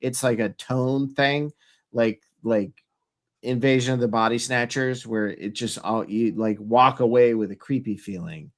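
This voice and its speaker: Nasal, congested man hosting a podcast. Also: medium pitch. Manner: halting, searching for words. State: head cold. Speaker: podcast host. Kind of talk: casual, rambling show introduction and chat.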